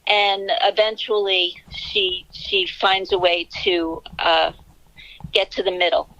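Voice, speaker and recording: A woman in her sixties with a New York City accent, a novelist answering interview questions in a measured, candid way. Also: medium pitch, little pitch movement, fairly bright tone, highs cut off. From Bronx, New York City. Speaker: novelist guest.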